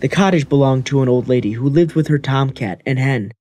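A man's voice: medium pitch, fairly quick, mellow. A man giving scripted narration of a children's fairy tale.

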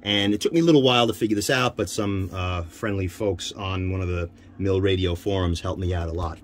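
Low-voiced man, halting hands-on demonstration. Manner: halting, thinking aloud while handling gear. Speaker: radio hobbyist demonstrating equipment. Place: inside a military-style off-road vehicle. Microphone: handheld camera, one hand free. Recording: noisy handheld camera audio.

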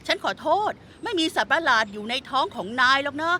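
A woman's voice: high voice, lively intonation, fast, slight background noise. A woman voicing a playful young child in a children's cartoon dub. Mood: apologetic. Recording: loud music under the voice.

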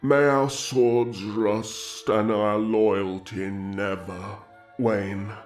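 A man playing a stately, solemn elf king, grandly and deliberately proclaiming in a roleplay audio drama. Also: on the low side, flat delivery, roomy sound, soft background music. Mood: solemn, hopeful. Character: stately, solemn elf king addressing his gathered clans.

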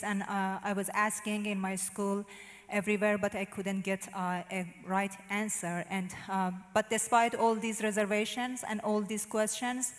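A woman in her thirties with a Persian accent speaks with a level, narrow melody, pausing often on "uh" as she searches for English words. She holds a handheld microphone on a church stage, and her voice trails into the long reverb of a large hall. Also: medium pitch. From Iran. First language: Farsi. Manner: hesitant, frequent "uh" fillers, non-native phrasing. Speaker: interview guest giving testimony. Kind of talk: personal testimony.

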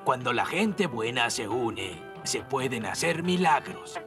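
A man's voice: medium pitch, animated, unhurried, music in the background. A man with a Latin American Spanish accent voicing a sincere, resolute villager in a cartoon dub. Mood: earnest, resolute. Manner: earnest, resolute. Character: sincere male villager finding his courage, earnest. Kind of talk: dubbed cartoon acting.

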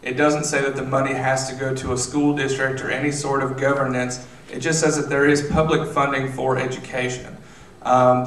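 A man in his thirties, a state legislator, answering questions on a panel with measured, filler-filled speech into a handheld mic. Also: mid-range voice, fairly bright tone. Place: theater stage. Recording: roomy, distant, faint noise.

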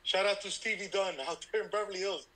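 A man's voice: high-pitched, fast talker, poor audio. A man in his thirties, born in Cuba and raised in Miami, telling his life story frankly and with energy as an interview guest. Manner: energetic, frank and self-deprecating. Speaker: baseball instructor guest.

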